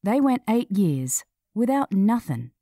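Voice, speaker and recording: A woman narrating a scripted feature article in a measured, clearly read delivery. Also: mid-range voice, sing-song, warm tone, no room sound, studio quality.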